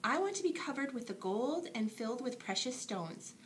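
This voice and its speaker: A woman's voice: fairly high pitch, lively intonation, normal speed, slight background noise, natural voice. A woman voicing an earnest little tree with big dreams in a children's bedtime story. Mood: eager, dreamy.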